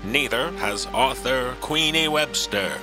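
A man acting a slow, theatrical old-time radio announcer. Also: medium pitch, natural voice. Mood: showy, sly. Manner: theatrical, deliberate. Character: suave, ominous male old-time radio horror announcer. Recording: music behind the voice.

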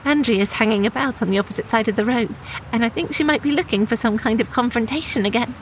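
A woman's voice: fairly high pitch, fast, a little hiss or hum, lightly acted, rough recording. An actress playing an anxious, self-justifying woman shop manager in a naturalistic audio drama. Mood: worried.

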